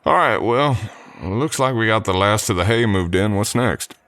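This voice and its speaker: A man playing a polite drifter cowboy hired on an Old West ranch, talking in an unhurried folksy drawl in an ASMR roleplay. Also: on the low side, lively intonation, a bit bright, dry recording. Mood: polite, eager. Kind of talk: ASMR roleplay acting, addressing the listener.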